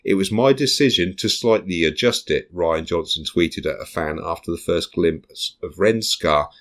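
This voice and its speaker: A man with a low, deep voice hosts a relaxed fan podcast. He reads news snippets aloud, then drifts into hesitant musings full of ums. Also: normal speed. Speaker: podcast host. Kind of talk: casual chat, reading news aloud.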